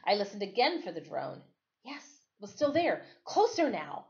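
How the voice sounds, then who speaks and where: A woman reading a story aloud in an expressive, dramatic storytelling way, like a teacher. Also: mid-range voice, normal speed. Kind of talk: read-aloud storytelling with casual asides. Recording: dull, lo-fi sound.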